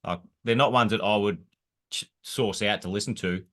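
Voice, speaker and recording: A man hosting a podcast interview, with a deep, fairly monotone voice. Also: normal speed. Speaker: podcast host. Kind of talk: casual interview.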